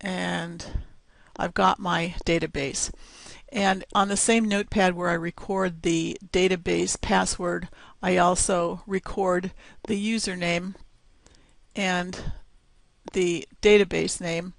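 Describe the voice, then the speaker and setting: A woman's voice: on the low side, little pitch movement, gravelly, no room sound. A woman presenting a screencast tutorial, explaining steps one at a time with fillers, her voice scratchy as she loses it. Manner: slow, step-by-step explaining with fillers.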